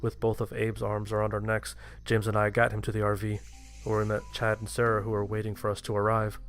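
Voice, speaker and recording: Low, very even male horror narrator. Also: brisk, soft background music. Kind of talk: first-person scripted horror narration.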